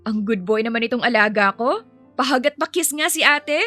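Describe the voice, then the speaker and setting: Woman as a perky, high-pitched young nanny. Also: animated, brisk, sharp tone, soft background music. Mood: perky, affectionate. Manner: chirpy, teasing and doting. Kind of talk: dramatised romance storytelling.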